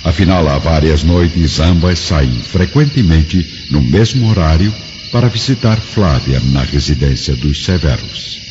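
A man's voice: extremely low pitch, expressive, a little slow, background noise. A man giving dramatic scripted narration as the narrator of an audiobook radio drama. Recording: muffled lo-fi audio under music.

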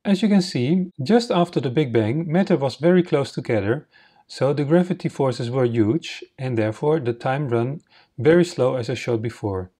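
A man whose native language is Dutch narrates a slideshow lesson, explaining formulas slowly and step by step. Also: medium pitch, lively intonation, mellow, slightly rough. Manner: slow, methodical, explains step by step. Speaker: science channel host.

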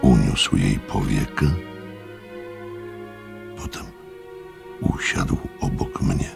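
Extremely deep, gravelly narrator. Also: male voice, over music, heavily acted. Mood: sober, measured. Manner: measured, sober. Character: composed gentleman narrator recalling a strange experiment. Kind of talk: scripted radio play narration.

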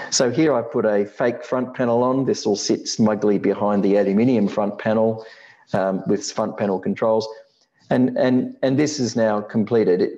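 Middle aged guy explaining stuff over slides, deep mellow voice, matter of fact, kinda muffled lo-fi mic. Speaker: presenter of an electronics building tutorial.

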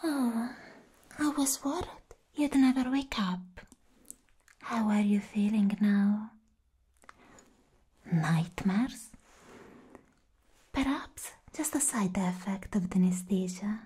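Female performer as attentive doctor with hidden madness, ASMR roleplay; whispered, soft, unhurried, coy. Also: medium pitch, breathy edge. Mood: soothing, attentive. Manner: slow, soothing, coy. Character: attentive, smooth-talking woman doctor with sly madness. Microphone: close microphone.

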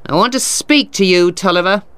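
A man's voice: high-pitched, normal speed, a bit bright, clean tone, voice pushed up. A man voicing a cold, scornful, haughty lawyer in a storybook, with a contemptuous manner. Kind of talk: audiobook character dialogue.

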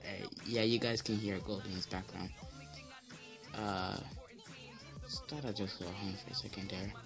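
A teenage boy with a deep voice giving casual gameplay commentary as channel host, rambling with many fillers and restarts. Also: fairly even intonation, measured pace, vocal fry, music in the background.

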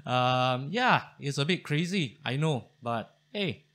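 A man's voice: mid-range voice, animated, slow, fairly bright tone. A man, hosting his history channel in a casual, thankful chat to camera full of fillers and restarts, recorded at home on a studio mic. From Singapore. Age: 40s. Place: home study with bookshelves.

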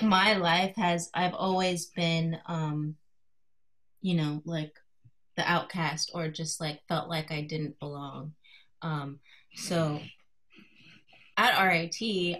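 A woman in her thirties from Colorado, giving an informal artist talk to a class over a video call, soft-spoken and hesitant, with many fillers. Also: fairly low pitch, steady tone, slow pace. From Colorado, USA. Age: 30s. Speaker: guest artist speaking to a class. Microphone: video call computer mic.

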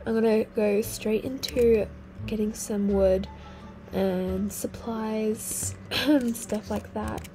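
A teenage girl with a slightly croaky, sick-sounding voice, chatting casually over her gameplay and exclaiming at things she finds. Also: medium pitch, fairly even intonation, slow, mellow. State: croaky voice from a cold. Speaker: gaming video host. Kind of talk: casual game commentary. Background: faint game music.

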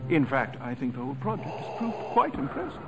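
A self-satisfied admiral of an evil empire in a game. His middle-pitched voice swoops widely as he speaks with pompous formality. Music plays clearly behind him. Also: wildly swooping pitch, brisk. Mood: smug. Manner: pompous, formal, crisp orders. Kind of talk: video game cutscene acting.

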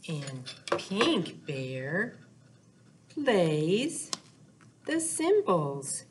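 An older woman leads a storytime for little children. She speaks extremely slowly, with a pitch that rises and dips widely, in short simple phrases with playful sound words. There is clear background noise in the recording. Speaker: children's storytime presenter at a library. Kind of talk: storytime for small children, talking, chanting and reading aloud. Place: small indoor room, seen seated before a wall.

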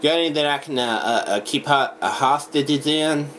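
A young man making a deadpan prank phone call, halting, stammering and rambling as he talks in a home room. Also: fairly high pitch. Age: young adult. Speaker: prank caller. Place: small indoor home room. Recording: noisy webcam recording.

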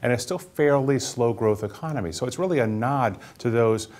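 A man's voice: medium pitch, normal speed, darkish tone. A middle-aged man commenting fluently and articulately as a market strategist guest in a TV studio. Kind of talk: panel interview commentary. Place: TV news studio. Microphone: lapel mic.

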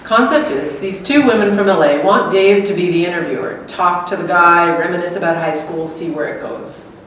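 A woman's voice: on the low side, moderate pace, fairly bright tone, lightly acted. A woman, middle-aged, reading the part of a plain-spoken, chatty companion in a staged script reading. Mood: gossipy. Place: small hard-walled gallery room, indoors. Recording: very echoey room, distant, faint noise.

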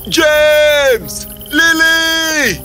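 A man voicing a hearty, emotional, generous rich businessman in a children's cartoon fairy tale, with theatrical drawn-out exclamations. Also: very high-pitched, over music. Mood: panicked, distraught.

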